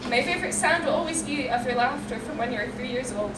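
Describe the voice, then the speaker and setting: A woman's voice: high-pitched, fast. A young woman in her late teens earnestly reading her own heartfelt poem aloud at a school poetry reading. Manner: earnest and heartfelt, emotional, reads in long run-on lines. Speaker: student poet. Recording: echoey room, distant mic, loud ambient noise.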